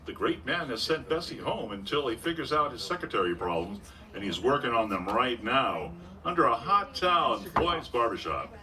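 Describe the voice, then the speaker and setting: An actor playing a polished, upbeat Golden Age radio announcer, delivering scripted narration and sponsor copy. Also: male voice, medium pitch, very animated, normal speed, a crowd in the background, natural voice. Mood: narrating. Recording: distant stage mic, faint noise.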